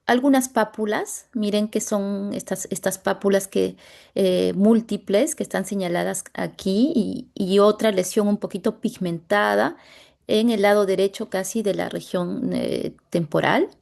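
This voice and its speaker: A Latin American woman, a dermatologist, presenting a medical case over a video call in a halting way, pausing often to search for words. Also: medium pitch, gravelly, darkish tone. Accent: Latin American Spanish.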